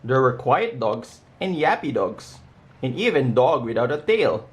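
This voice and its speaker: A man reading a children's storybook aloud in a deliberate way, pausing between short, simple phrases. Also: mid-range voice, big pitch swings. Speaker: storybook reader. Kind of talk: read-aloud of a children's picture book.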